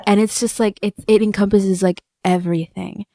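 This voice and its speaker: A woman's voice: medium pitch, steady tone, moderate pace, mellow, no room sound, studio quality. A woman co-hosting a podcast, giving earnest, effusive feedback in a conversational way and piling up descriptions. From immigrant to the US. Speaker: podcast co-host.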